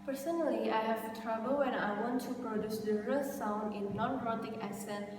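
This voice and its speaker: A woman's voice: on the high side, steady tone, moderate pace, music in the background. A young Indonesian woman whose first language is Indonesian, speaking English with an Indonesian accent as a student presenter, explaining carefully with some hesitant fillers. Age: young adult. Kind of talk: class video presentation. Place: classroom, indoors. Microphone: camera mic from a few meters. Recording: echoey room, distant mic, noisy.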